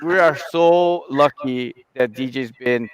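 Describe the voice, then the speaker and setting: A man's voice: medium pitch, slow, clean tone, poor audio. A man who heads the organization, chatting casually on a live talk show, cracking jokes and restarting his sentences with fillers. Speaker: head of the organization. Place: live broadcast studio.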